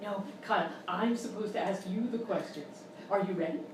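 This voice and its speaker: A woman's voice: mid-range voice, normal speed, distant mic, close to an everyday voice. Gleefully mean quiz hostess played by a woman, polished patter, sketch comedy, off-mic live recording with noise. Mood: brisk presenting. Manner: bright presenter patter, mocking. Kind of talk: game show parody, sketch comedy. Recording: echoey, noisy live stage, off mic.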